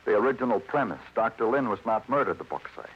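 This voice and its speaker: An actor playing a world-weary, hard-boiled New York police detective in a 1950s radio crime drama, speaking in a clipped, terse, commanding way. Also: male voice, on the low side, lively intonation, fast talker, a little hiss or hum, close to an everyday voice. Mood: dry, skeptical. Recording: muffled vintage radio recording, loud music.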